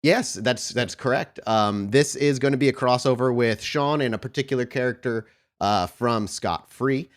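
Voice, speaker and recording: A man with an average-pitched voice speaks as a podcast host and game storyteller. His delivery is informal and conversational, like someone chatting off the cuff with friends at the table. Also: normal speed, few pauses. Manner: informal, chatty, swears casually. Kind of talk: casual podcast intro, then improvised tabletop game narration.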